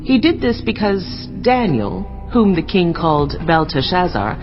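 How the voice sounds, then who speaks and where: A woman's voice: lowish voice, big pitch swings, measured pace. An actress playing a composed queen of ancient Babylon giving counsel in a dramatized scripture reading, declamatory and reassuring. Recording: dull lo-fi audio with music.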